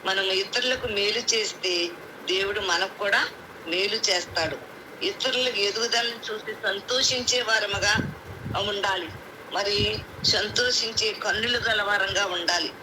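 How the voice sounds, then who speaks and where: Female lay preacher, repetitive devotional exhortation, noisy conference-call recording with vehicle sounds. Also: medium pitch. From India. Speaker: prayer group speaker. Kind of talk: devotional sermon with scripture reading. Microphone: phone on conference call.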